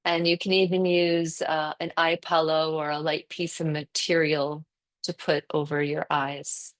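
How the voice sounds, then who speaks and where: A woman teaching yoga nidra with a very slow, spacious delivery. Her voice sits a little low, and she gives gentle step-by-step cues for breathing and settling the body, leaving room between phrases. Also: flat delivery. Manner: gentle, unhurried guiding with pauses. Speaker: yoga instructor.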